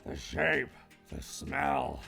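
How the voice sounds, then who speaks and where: A creepy, obsessive old penguin villain for a cartoon parody. The voice is thick with creaky fry and swoops with theatrical delight. Music plays clearly behind it. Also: male voice, medium pitch, sing-song, very slow, full character voice. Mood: creepy, rapturous. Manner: gushing, theatrical. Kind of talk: comedic parody cartoon dub.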